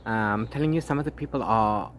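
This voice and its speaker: A man talking casually as a book vlogger, rambling in a halting way with repeated words and restarts. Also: fairly low pitch. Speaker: book vlogger, read-along co-host. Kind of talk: casual rambling video diary about a book. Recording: clear background noise, ambient sound.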